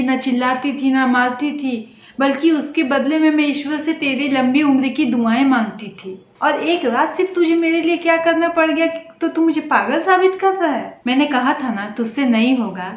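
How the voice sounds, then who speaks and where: A woman's voice: sits high, reverberant, highs cut off, close to an everyday voice. A woman voicing a patient, self-sacrificing old mother who chides plainly, in a storytelling. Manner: chiding, plain-spoken.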